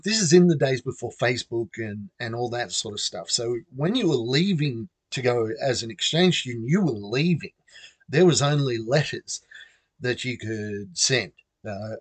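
A man's voice: medium pitch, dry recording. A middle-aged Australian man casually telling a personal story, chatty and self-amused. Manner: chatty, self-amused, acts out quoted voices.

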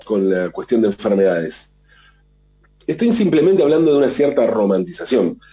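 A radio host, sick at home with a mild flu and cough, musing in a thoughtful, rambling way with many restarts. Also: male voice, lowish voice, very animated, normal speed. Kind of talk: reflective monologue. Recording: dull lo-fi sound.

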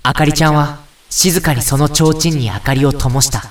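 A man's voice: on the high side, fairly even intonation, normal speed, close to an everyday voice. An actor playing a sincere young man who narrates his own story in an audio drama. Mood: earnest, resolute. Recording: echoey, distant, music behind.

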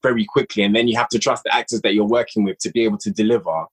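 A man's voice: on the low side, steady tone, racing, husky. Emphatic man chatting as a podcast guest. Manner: emphatic, repeats phrases for stress. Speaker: podcast guest, audio drama writer and director. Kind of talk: casual conversational interview.

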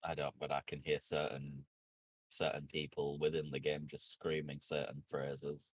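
A man's voice: very deep voice, monotone, normal speed, no room sound. A man co-hosting a podcast, making dry wisecracks. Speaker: podcast co-host. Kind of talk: casual podcast chat.

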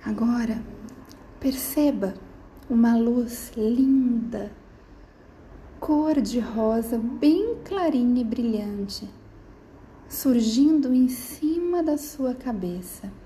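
A woman guiding a sleep meditation in a slow, soft, soothing manner with gentle pauses, slipping into near-whispers. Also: fairly high pitch, lively intonation, a little hiss or hum, poor audio. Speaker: meditation guide.

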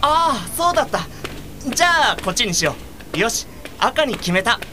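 An actress playing a blind art lover, casual, frank and gently exasperated, in an audio drama. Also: female voice, a little high, big pitch swings, moderate pace, noisy background. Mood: pondering. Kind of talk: Japanese audio drama acting.

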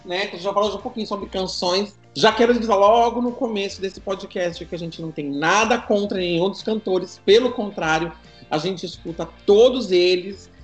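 A man's voice: sits high, normal speed, roomy sound, faint music behind. A man leading a podcast episode in a joking, teasing way. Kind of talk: casual podcast chat.

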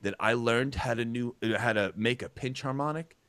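A man's voice: mid-range voice, normal speed, a bit bright, no echo. Joking man co-hosting a music podcast. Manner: rambling, jokey, plays with accents. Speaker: podcast co-host and music teacher. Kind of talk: casual chat.

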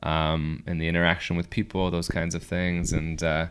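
A man hosting a casual interview, rambling and restarting his sentences with quick laughs. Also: deep voice, completely flat delivery, a bit bright, smooth. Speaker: podcast host and comedian.

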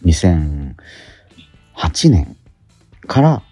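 A middle-aged man chatting casually as a radio host, animated but sometimes halting and reflective. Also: deep voice, husky, no echo, soft background music. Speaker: radio host and theatre actor. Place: theatre auditorium during rehearsal.

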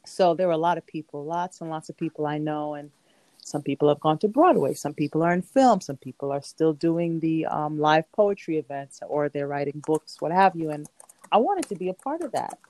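Low-voiced reflective woman poet. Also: lively intonation, normal speed, darkish tone, dry recording, low-quality recording. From New York (Queens). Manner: reflective, rambling, laughs often. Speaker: poet and performing artist; guest. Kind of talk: casual conversational interview.

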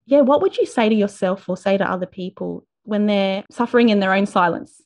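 A woman's voice: medium pitch, a little fast. A woman hosting a podcast interview, encouraging her guest and reading a promo. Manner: encouraging, with brief affirming replies. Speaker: podcast host. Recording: music playing behind.

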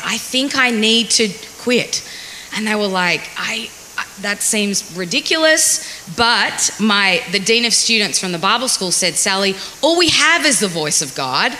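A woman's voice: medium pitch, bright, forceful tone. A woman in her forties with an Australian accent, chattily sharing her testimony in church. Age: 40s. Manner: chatty and self-deprecating. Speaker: guest speaker. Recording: hissy echoey church hall.

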